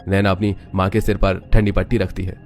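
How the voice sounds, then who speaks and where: A man reads a dramatic story in a low voice. His delivery is quick and scripted, carried over a music bed. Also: level tone. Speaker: narrator. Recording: background music under voice.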